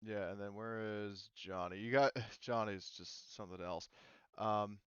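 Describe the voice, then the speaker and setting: A man with a low, clean voice acts as game master for a group. He explains things casually and swears freely. The audio is dry and a bit dull. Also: fairly even intonation, measured pace, clear, resonant tone, no echo. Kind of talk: casual group chat about a tabletop game.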